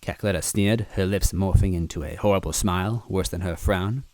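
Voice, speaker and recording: A man with a fairly low voice narrates a story, expressive and lively, now and then dropping in a personal aside. The recording is dry and close, with no room sound. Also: normal speed, no room sound. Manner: expressive, adds asides to the listener. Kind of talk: reading fan fiction aloud.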